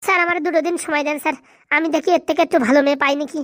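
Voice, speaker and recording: A woman's voice: high-pitched, steady tone, racing, a bit bright, no room sound, studio quality. A woman voicing a scheming, fretful male village sidekick in a comic cartoon, chattering deferentially in dialect. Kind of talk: comic Bengali cartoon dialogue.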